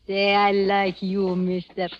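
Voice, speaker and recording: An actress playing a brazen, flirtatious Cuban hustler with a Spanish accent and teasing broken English in a 1940s radio thriller. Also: female voice, mid-range voice, monotone, takes it slowly, lightly acted. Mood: teasing, seductive. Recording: dull old-time radio recording.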